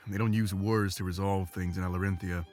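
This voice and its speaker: Man voicing a low-voiced, earnest warrior beast-man, music behind. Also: level tone, a little fast, a little mellow, close to an everyday voice. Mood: confident, eager. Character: gruff, devoted warrior beast-man, eager for combat. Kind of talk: visual novel game voice acting. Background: game music.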